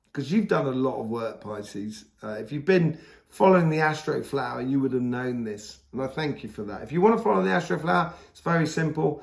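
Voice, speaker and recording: A hoarse man giving a casual, rambling tarot reading, full of fillers and restarts. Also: medium pitch, darkish tone, echoey room. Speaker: tarot reader.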